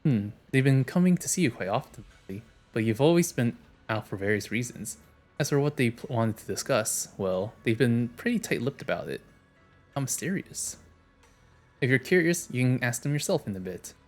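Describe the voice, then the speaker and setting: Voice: medium pitch, expressive, normal speed, a little mellow, faint music behind. A game streamer chatting casually and reading dialogue aloud on a livestream, sometimes stumbling and self-correcting.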